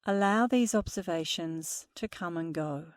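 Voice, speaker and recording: A woman meditation instructor speaking softly and soothingly through a guided meditation. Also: lowish voice, dry recording, clean studio sound. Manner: slow, soothing, soft-spoken guidance.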